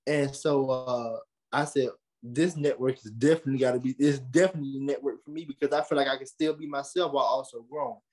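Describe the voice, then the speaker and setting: A young American man giving conversational interview answers, earnest and animated, repeating phrases for emphasis. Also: medium pitch, fast talker, poor audio. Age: young adult. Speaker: podcast host and creative being interviewed.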